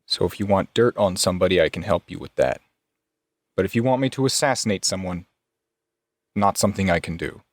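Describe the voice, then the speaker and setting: A man playing an earnest, weary private investigator in a tabletop game, speaking in a deliberate, solemn way over music. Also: low voice, no echo, studio quality. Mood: firm, wary. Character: earnest, weary male private investigator pleading his case. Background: music behind the voice.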